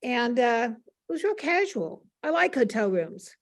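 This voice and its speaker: An older American woman, a panel guest, telling casual stories in an animated way and acting out remembered dialogue. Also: on the high side, measured pace. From United States. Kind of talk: casual anecdotal storytelling.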